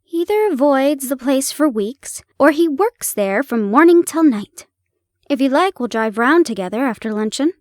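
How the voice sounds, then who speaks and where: Friendly young hospital dresser, brisk and chatty, high and bright, read by a woman. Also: animated, dry recording, studio quality.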